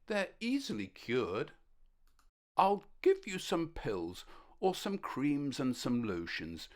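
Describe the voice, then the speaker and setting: A woman voicing a kind, calm male ant doctor in a storybook, gentle and reassuring. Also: low voice, takes it slowly, heavily acted. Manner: gentle, reassuring bedside manner. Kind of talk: storybook character voice.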